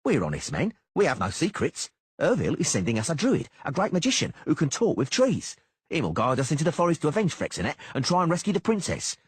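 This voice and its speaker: A man's voice: a little high, huge pitch swings, quick pace, breathy edge, dry recording, voice pushed up, exaggerated voice. Man doing a sneering, crude mercenary. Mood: sneering mocking. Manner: mocking, crude. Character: sneering beady-eyed male mercenary, crude.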